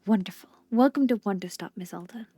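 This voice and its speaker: Kindly tea shop gentleman played by a woman, quaint and unhurried, cosy video game character, faint music. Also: mid-range voice, husky, natural voice. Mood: calm, courteous. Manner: formal, quaint phrasing, gently polite.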